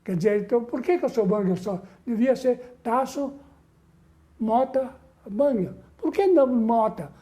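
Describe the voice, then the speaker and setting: An older man from southern Brazil, a veteran bandleader being interviewed, who speaks haltingly and repeats words, affectionate and sentimental. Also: very high voice, expressive, mellow. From Rio Grande do Sul, Brazil. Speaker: guest, veteran musician and bandleader. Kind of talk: conversational interview.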